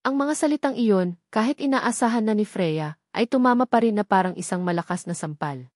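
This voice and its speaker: A woman's voice: mid-range voice, expressive, a little fast, a little mellow, dry recording, studio quality. A woman narrating a scripted dramatic story.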